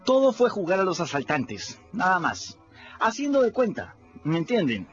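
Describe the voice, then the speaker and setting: A scrappy boy telling his own adventures in a radio drama. He is confiding and chatty, with lively swings in pitch. The sound is a bit muffled, over music. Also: normal speed. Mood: candid storytelling. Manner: conversational, confiding, addresses listeners directly. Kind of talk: first-person radio drama narration.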